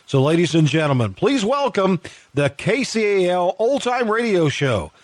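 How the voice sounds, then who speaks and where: A man's voice: high voice, very animated, normal speed, no room sound. An American man hosting a radio show, introducing it in a folksy announcer manner. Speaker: producer and host of a radio troupe's show.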